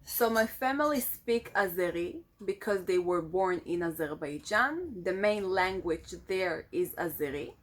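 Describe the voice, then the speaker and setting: A woman in her twenties from Israel, a native Hebrew speaker with an Israeli accent, talking reflectively in a casual vlog and often pausing with fillers. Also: lowish voice, expressive, poor audio. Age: 20s. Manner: reflective, searching for words with many fillers. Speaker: vlogger. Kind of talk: casual personal vlog monologue.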